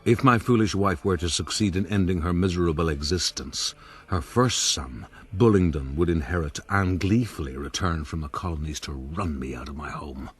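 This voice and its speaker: A man playing a scheming, self-pitying gentleman rogue who narrates his own life in a formal, self-justifying way for a radio drama. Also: low voice, normal speed, slightly rough, soft background music. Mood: bitter, calculating.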